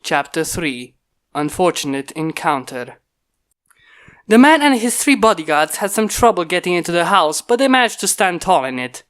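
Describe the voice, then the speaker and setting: A medium-pitched narrator reads a fan fiction story aloud. The delivery is scripted storytelling, moving through descriptive prose as in an amateur audiobook. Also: big pitch swings, moderate pace, fairly bright tone.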